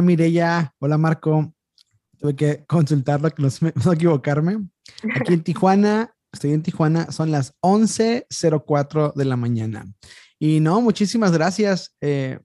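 A man's voice: mid-range voice, mellow, no room sound, clean studio sound. A man, a podcast guest talking casually and thinking aloud with many fillers over a video call. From Mexico. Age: 30s. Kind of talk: casual conversation.

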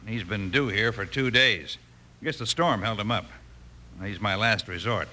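A man's voice: lowish voice, moderate pace, pushed and bright. A man playing a weary, earnest army captain in an old radio western, grave and clipped, with an American accent. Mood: weary, determined. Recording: faintly noisy old radio recording.

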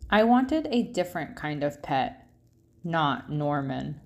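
Female picture-book narrator. Lowish voice, unhurried and measured, expressive for young listeners. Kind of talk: expressive children's storybook reading.

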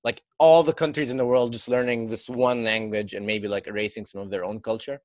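A man's voice: mid-range voice, fairly even intonation, fairly quick, a little mellow, no echo. A man from Lebanon who talks thoughtfully and asks the others questions in a casual conversation.

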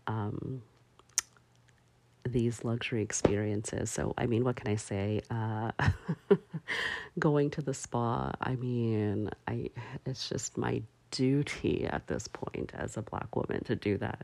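Woman host just chatting, slow and halting, dry close sound. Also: a bass voice, creaky, a little mellow, dry recording. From parents from Barbados. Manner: slow, halting, many fillers. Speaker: podcast host. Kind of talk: casual solo talk.

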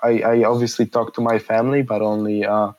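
A man's voice: lowish voice, level tone, fairly quick, darkish tone, lo-fi sound. A man in his thirties from Poland, Polish his first language, answering interview questions in a hesitant, reflective way with many fillers and restarts.

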